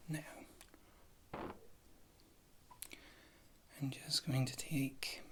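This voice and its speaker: A performer playing a wry, condescending witch healer in a whispered ASMR fantasy roleplay, with a soft, breathy voice and a halting delivery. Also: medium pitch, noisy background. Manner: slow, halting, dryly disdainful.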